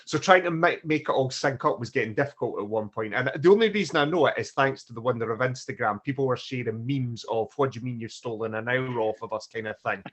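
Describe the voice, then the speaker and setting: British man telling an animated story. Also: medium pitch, quick pace, hardly any pauses. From Britain. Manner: animated storyteller, acts out quotes. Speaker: podcast co-host. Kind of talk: casual conversation.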